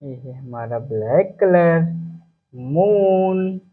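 A man's voice comes through a thin, phone-like recording. He speaks very slowly, with a husky tone, as he teaches small children. He repeats names and addresses the children directly. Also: a little high, lively intonation. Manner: repetitive, instructional, addressing children. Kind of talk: simple lesson for young children, repeating names. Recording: muffled, narrow phone-like sound.

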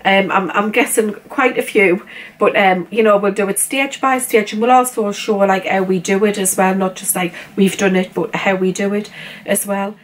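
A woman's voice: medium pitch, steady tone, normal speed, a little hiss or hum, rough recording. A woman in her forties with a British accent, chatting casually to camera in her living room, giggly and full of fillers. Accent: British English. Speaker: vlogger. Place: living room at home, indoors.

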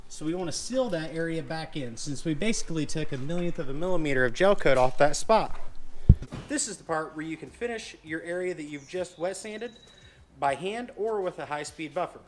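A relaxed man in his thirties walks through a hands-on boat repair, his tone easygoing and folksy. His voice sits a little high for a man. The camera mic is set some distance off in a big showroom, with a steady hiss of noise underneath. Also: moderate pace. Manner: easygoing, folksy instructional talk. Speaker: boat dealer and channel host. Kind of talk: casual how-to demonstration.

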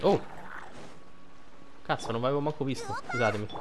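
A man's voice: mid-range voice, moderate pace, background noise. A man giving casual, informal playthrough commentary as a gaming streamer, thinking aloud.

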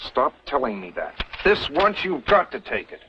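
A man's voice: mid-range voice, sing-song, moderate pace, background noise, close to an everyday voice. An actor playing a proud, stubborn young frontier man in a 1950s television western, blunt and heated. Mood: bitter, insistent. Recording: muffled old TV soundtrack with music.

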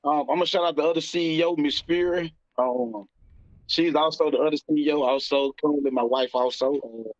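A middle-aged American man gives casual, informal answers as an interview guest, halting as he goes. His voice is a touch high, with dry, slightly dulled call audio. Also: steady tone, no room sound, highs cut off. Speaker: interview guest, rapper and label CEO. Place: indoor room, video call.

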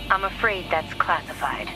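A woman's voice: lowish voice, poor audio. A woman voicing a guarded, authoritative android commander in a video game, speaking formally over radio. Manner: composed, formal.

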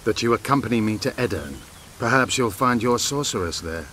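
An actor playing a proud, bitter elven rebel commander in a fantasy game, grave and declamatory. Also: male voice, mid-range voice, expressive, fairly quick, pushed and bright, a little hiss or hum. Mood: commanding. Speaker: video game character actor. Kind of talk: game dialogue acting.

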